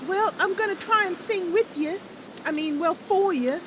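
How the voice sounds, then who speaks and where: Very high chirpy young elf girl. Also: moderate pace, noisy background. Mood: bright, chatty. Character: small chirpy young female wood elf adventurer. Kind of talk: improvised fantasy game roleplay. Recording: loud music behind the voice.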